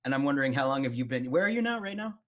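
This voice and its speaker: A man's voice: medium pitch, sing-song, rapid-fire. Rambling male interviewer on a video call. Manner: rambling, self-correcting questions. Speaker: interviewer host. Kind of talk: casual interview questions.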